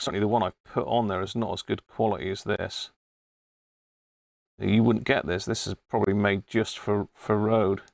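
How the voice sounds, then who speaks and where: Low-voiced Englishman, casual and rambling. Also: gravelly, no room sound, clean studio sound. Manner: rambling, informal, thinking aloud. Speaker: live stream host of a radio hobby channel. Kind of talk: casual live chat with demonstration. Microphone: wireless body-pack microphone.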